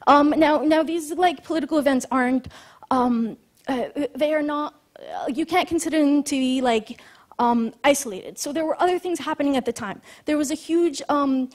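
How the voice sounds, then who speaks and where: A woman's voice: sits high, normal speed. A woman from Lebanon giving a conference talk, explaining earnestly with frequent fillers and restarts. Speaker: conference speaker. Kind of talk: lecture talk.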